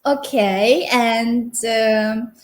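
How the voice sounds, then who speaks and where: Slow-talking woman hosting a video chat. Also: fairly high pitch. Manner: hesitant with many "uh" fillers, encouraging "mm-hmm"s. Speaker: host. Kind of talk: casual interview. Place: remote live chat. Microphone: video call.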